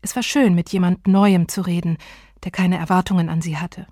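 Calm woman narrating an audiobook. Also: on the low side, moderate pace, dry recording, clean studio sound. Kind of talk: scripted narration.

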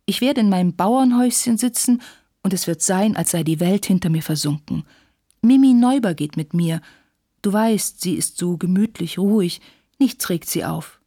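A woman's voice: medium pitch, lively intonation, normal speed, warm tone, dry recording, studio quality. A woman voicing a self-pitying, passionate young war widow in a literary story, plaintive and insistent in manner. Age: young adult.

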